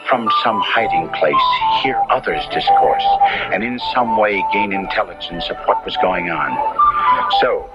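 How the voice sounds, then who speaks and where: Deep-voiced, tormented young nobleman narrating horror. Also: wildly swooping pitch, unhurried. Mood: weary, fearful. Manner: florid, melodramatic, theatrical diction. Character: tormented young Italian nobleman trapped in a monster's body, narrating. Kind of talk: 1970s radio horror drama narration. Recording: dull vintage radio recording with loud music.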